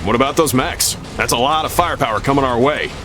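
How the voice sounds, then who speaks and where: An actor playing a loyal, wry soldier comrade in a video game cutscene. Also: male voice, mid-range voice, fast, music in the background, natural voice. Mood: wry, banter.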